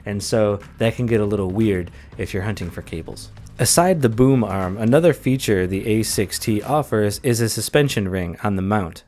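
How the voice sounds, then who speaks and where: A man in his thirties giving a relaxed, measured product review from a small home office, speaking close into a desk condenser mic. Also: on the low side. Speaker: tech reviewer. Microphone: close desk condenser mic on boom arm.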